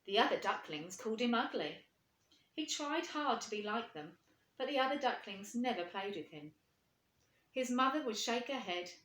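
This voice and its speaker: A woman reading a storybook to children, expressive and putting questions to her young listeners. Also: on the high side, moderate pace. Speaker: primary school teacher reading aloud. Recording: echoey room.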